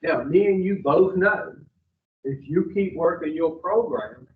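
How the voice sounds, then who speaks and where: A man's voice: fairly high pitch, measured pace. A man, bluntly questioning new clients at an addiction treatment intake. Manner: blunt, confrontational, drawling. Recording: far-off phone-like audio in an echoey room.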